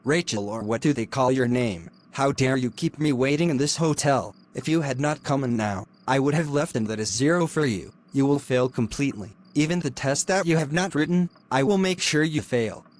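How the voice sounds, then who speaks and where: A middle-aged man, acting a predatory lecturer who speaks low, quiet and threatening. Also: brisk, hardly any pauses, close to an everyday voice. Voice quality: low, quiet and menacing delivery. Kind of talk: amateur drama acting.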